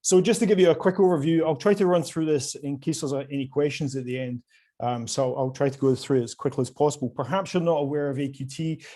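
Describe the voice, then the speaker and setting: A man's voice: a little high, fairly even intonation, quick pace, warm tone. A man with a Scottish accent presenting his company at an online conference, polite and often hesitating with fillers. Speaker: company sales director presenting. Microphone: video call.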